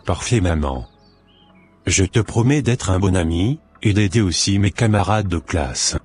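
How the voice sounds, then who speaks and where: A man's voice: low voice, lively intonation, unhurried, a little mellow, slightly rough, soft background music, exaggerated voice. A man playing a polite, obedient schoolboy son in a cartoon, speaking in a formal, deferential way. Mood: dutiful. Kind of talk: scripted cartoon dialogue.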